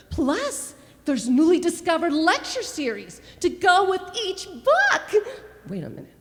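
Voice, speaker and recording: A woman performing an autobiographical cabaret monologue with wry, emphatic storytelling, recorded live on a small club stage through a stage microphone. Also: fairly high pitch. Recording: live club with reverb and piano.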